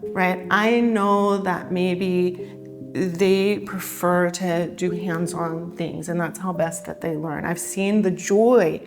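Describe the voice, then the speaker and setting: A woman from California, a teacher, talking in a chatty, relaxed, upbeat way in an interview. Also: fairly low pitch, slow. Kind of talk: casual interview talk. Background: soft guitar music.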